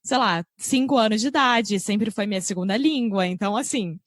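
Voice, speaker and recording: A woman's voice: mid-range voice, normal speed, dry recording. A woman from Rio with a Carioca accent, hosting a podcast and speaking as a teacher in an emphatic way. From Rio de Janeiro, Brazil. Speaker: podcast host, language teacher. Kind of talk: casual podcast conversation.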